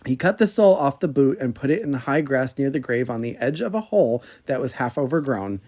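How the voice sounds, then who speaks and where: A man hosting a podcast, reading an old fairy tale aloud in an expressive storybook manner. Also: mid-range voice, fairly quick.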